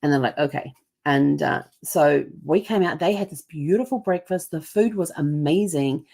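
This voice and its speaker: A woman's voice: deep voice, moderate pace, vocal fry, mellow. Chatty woman with a Kiwi-American accent. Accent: mix of New Zealand and American. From New Zealand. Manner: chatty, lots of ums and restarts, puts on voices for others. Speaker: host. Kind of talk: casual travel storytelling.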